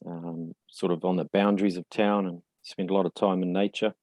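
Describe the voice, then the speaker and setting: A man in his forties with a New Zealand accent talks as a podcast guest, his voice low and mellow. He speaks in a reflective, halting way, often pausing on fillers. The sound is dry and close. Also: flat delivery, no echo. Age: 40s. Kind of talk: casual interview conversation.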